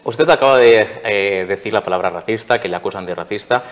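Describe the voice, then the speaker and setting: A male TV interviewer with a low voice. He asks measured, probing questions, and his voice carries a long reverberant tail from the room.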